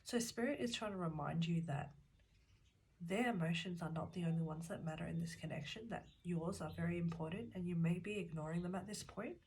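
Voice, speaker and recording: A woman's voice: on the low side, a little fast, low-quality recording. A woman giving a tarot reading, speaking earnestly and directly to the viewer while nursing a cold that makes her voice come and go. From Australia. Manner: calm, earnest, talks straight to the viewer. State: has a cold, voice cutting in and out. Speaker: tarot reader. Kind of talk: pick-a-card tarot reading. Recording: loud background noise. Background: shuffling cards and clicks.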